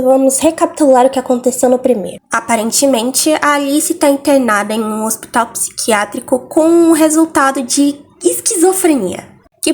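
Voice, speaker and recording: High-voiced Brazilian woman narrating a recap. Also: a little mellow. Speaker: video essayist. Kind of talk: scripted video recap and commentary.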